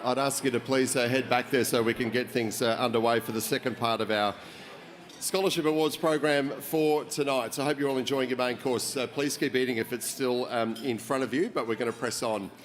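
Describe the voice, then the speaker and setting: A man hosting an awards dinner, introducing a guest and interviewing him on stage, with relaxed speech full of "uh" fillers. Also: medium pitch, a little fast. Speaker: event host and interviewer. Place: function hall at a dinner event.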